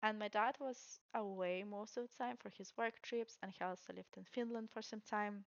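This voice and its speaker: Young woman, Estonian accent, gravelly fry in the voice, candid casual storyteller, close dry sound. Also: medium pitch, fairly even intonation, normal speed, no room sound, muffled recording. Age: young adult. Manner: candid, self-deprecating, chuckles while talking. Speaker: vlogger telling her career story.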